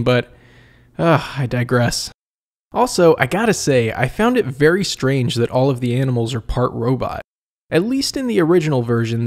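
Animated, higher-pitched man reviewing with wry humour. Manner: expressive, wry, conversational. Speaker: video essay host and reviewer. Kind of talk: scripted narration, comedic review.

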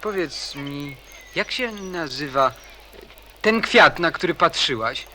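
A man's voice: fairly high pitch, lively intonation, takes it slowly, sharp tone, slight background noise, full character voice. A man playing a rebellious, cynical teenage boy in a radio drama, insistent and moody. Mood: curious, insistent.

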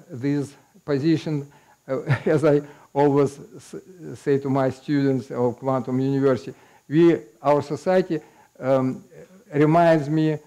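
An older man with a Russian accent giving an unhurried, explanatory lecture to a small audience. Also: fairly high pitch, warm tone. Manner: unhurried, explanatory, with occasional laughs. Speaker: guest lecturer. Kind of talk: public lecture. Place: small meeting room with audience. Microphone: headset mic.

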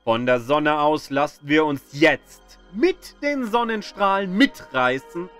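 A man narrates an educational script in a fairly high voice. His melody moves up and down in a lively way, and he takes his time with each phrase, telling it all like a story with dramatic flourishes. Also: takes it slowly, faint music behind. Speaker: narrator of an astronomy audio tour.

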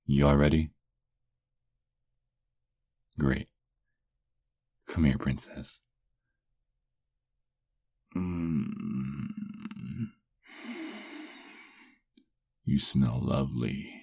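Man as a very deep, slow-murmuring vampire lover. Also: gravelly, warm tone, no echo, full character voice. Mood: admiring. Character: doting, possessive, seductive male vampire lover. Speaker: ASMR roleplay performer. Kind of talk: intimate ASMR roleplay.

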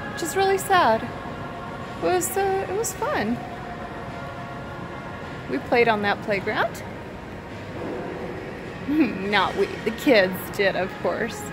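A woman filming a walking vlog through an empty mall on her phone, musing aloud in a wistful way with soft hums. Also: fairly high pitch, background noise. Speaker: vlogger. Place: inside an empty shopping mall. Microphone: phone camera held while walking.

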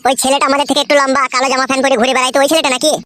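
A man's voice: very high-pitched, flat delivery, rapid-fire, bright, forceful tone, no echo. A man voicing a brash, foul-mouthed young cartoon villager with a rural West Bengal accent, grumbling in crude comic banter. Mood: scheming banter.

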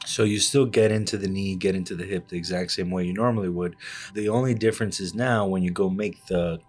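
Deep flat-voiced surgeon with fillers. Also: male voice, husky. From Cuba, raised in Miami. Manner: measured, explanatory, many "uh" and "you know" fillers. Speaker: orthopedic surgeon, interview guest. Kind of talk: hospital podcast interview. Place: small studio set with plant and armchairs. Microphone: desk podcast microphone on arm.

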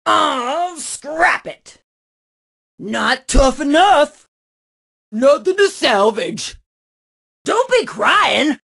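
An actress voicing a tough, motherly female scrapyard mechanic, barking brash, bossy one-liners, often shouted, as video game voice lines. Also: sits high, animated, very slow, creaky, long pauses, full character voice.

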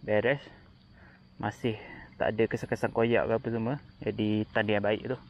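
A man with a Kelantanese Malay accent chatting casually through a fishing vlog, with quick excited exclamations, filmed outdoors on a riverbank with a body-worn action camera. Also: fairly low pitch, highs cut off, slight background noise. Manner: chatty, excited exclamations while reeling fish. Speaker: fishing vlogger. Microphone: chest- or head-mounted action camera.

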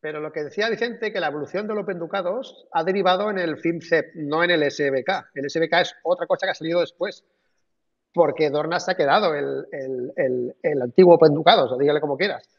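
A man's voice: on the high side, fairly quick. A man on a video call arguing his point insistently in a live debate. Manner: insistent, argumentative. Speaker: panelist. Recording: dull video call audio.

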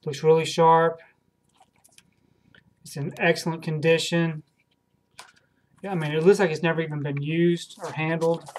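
Thirties male home video host, hesitant collector, monotone delivery, desk webcam sound. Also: a little high. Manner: hesitant, many ums and repeated words. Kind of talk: casual haul video. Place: home room with shelves of films.

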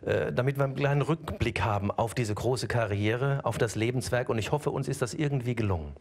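A man's voice: medium pitch, fairly quick. A middle-aged man hosting an award show, interviewing his guest on stage in a studio with a handheld mic. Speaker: TV show host. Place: dark TV studio with seated audience.

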